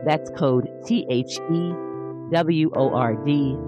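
A man's voice: on the high side, slow pace. Male promo announcer over guitar music. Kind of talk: scripted podcast promo read.

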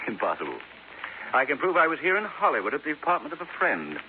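Vain, swooping faded film star. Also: male voice, a little high, huge pitch swings, moderate pace, phone-quality sound, soft background music. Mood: smug, evasive. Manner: theatrical, haughty, amused condescension. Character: vain, disdainful male former film star, smooth evasive suspect. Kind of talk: 1950s radio drama acting. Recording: thin, hissy 1950s radio recording.

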